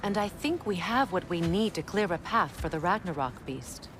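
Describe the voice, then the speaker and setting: A woman voicing a resolute, commanding warrior queen in a video game, speaking in a firm, measured way. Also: fairly low pitch, lively intonation. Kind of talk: cinematic video game acting. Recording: loud ambient noise behind.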